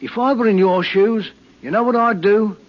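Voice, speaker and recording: An actor playing a prying, officious insurance investigator in a 1940s radio drama, brisk and insinuating. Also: male voice, high voice. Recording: muffled 1940s radio recording.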